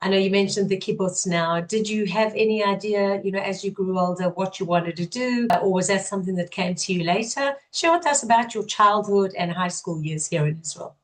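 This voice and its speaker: A woman's voice: medium pitch, fairly quick, hardly any pauses. Middle-aged female podcast host, friendly, asks long winding questions. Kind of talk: interview hosting.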